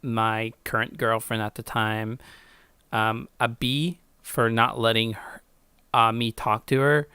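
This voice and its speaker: Young guy doing casual reaction chat, giggly, bit of fry, dry close sound. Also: mid-range voice, level tone, slow, sharp tone, no room sound. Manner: giggly, self-deprecating, informal. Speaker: YouTube host reacting to own story.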